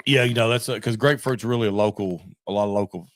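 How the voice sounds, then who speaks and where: A man's voice: on the low side, fast talker, no room sound, clean studio sound. A man co-hosting a podcast, chatting casually in an informal, folksy way. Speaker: podcast co-host. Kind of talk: casual chat.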